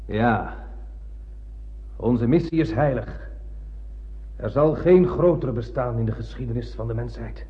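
A man's voice: medium pitch, unhurried, long pauses, natural voice. Muffled old radio play, male actor as obsessed blind space captain, older, declamatory, poetic, fanatical. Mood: rallying, commanding. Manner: declamatory, poetic, swinging from hushed to ranting. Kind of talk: theatrical radio drama monologue.

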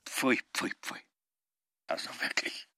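A man's voice: slow, sharp tone, no room sound, clean studio sound. A man playing a rattled, elderly barman in a radio drama, muttering fretfully in fragments.

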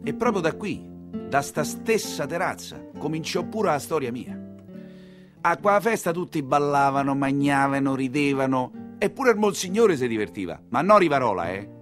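A man's voice: on the high side, animated, moderate pace, over music. A man with a Roman accent performing a theatrical storytelling monologue as a coachman, in colloquial, musing dialect with chatty asides. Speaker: stage actor narrating as a papal-era coachman.

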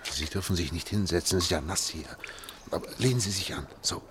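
An actor playing an anxious middle-aged engineer in a radio drama, speaking in a hushed, urgent, halting way. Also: male voice, low-pitched, noisy background, natural voice. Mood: hushed, reassuring. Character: anxious male engineer leading an escape through sewers. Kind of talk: German radio drama acting.

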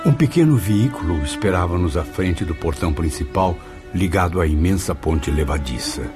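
A man's voice: low voice, expressive, normal speed. A man with a Brazilian accent playing a melancholy spirit who narrates his own memoirs in a radio drama. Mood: reflective. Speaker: first-person narrator. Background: music.

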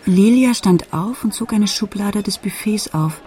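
An actress playing a grown daughter who retells her family's past in measured, matter-of-fact radio play narration. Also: mid-range voice, warm tone, lightly acted.

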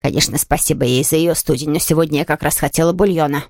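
Woman playing a low, peevish invalid. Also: fairly even intonation, fast talker, a little mellow, no room sound, clean studio sound. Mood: irritated. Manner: grumbling, haughty, languid. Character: peevish, self-pitying bedridden woman, always discontented. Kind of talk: audiobook character voice.

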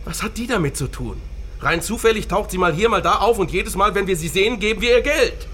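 An actor playing a gruff, distrustful criminal partner in a radio crime drama, with an irritable, confrontational manner. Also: male voice, high voice, animated, brisk, pushed and bright, heavily acted. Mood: hostile, suspicious.

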